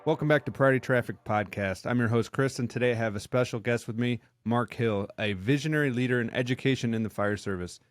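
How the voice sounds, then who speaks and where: A man from Michigan hosting a podcast, asking questions in a relaxed, agreeable way. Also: mid-range voice, fast, few pauses, no echo. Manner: easygoing, agreeable interviewer. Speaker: podcast host. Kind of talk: casual interview.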